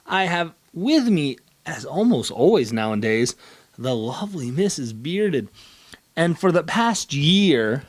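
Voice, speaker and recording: Male channel host, enthusiastic and casual, with very lively, swooping pitch. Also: slow pace. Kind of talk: casual chat, interviewing a co-host.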